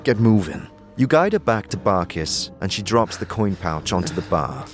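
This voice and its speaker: A man's voice: low-pitched, very animated, normal speed, a bit bright. A man reading scripted narration for a fantasy audio drama. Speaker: narrator and actor. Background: prominent music.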